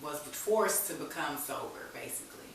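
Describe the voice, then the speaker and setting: A woman's voice: deep voice, normal speed. A woman speaking as an interview guest, telling her own story candidly and informally in conversation. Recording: very echoey room, distant mic, faint hiss.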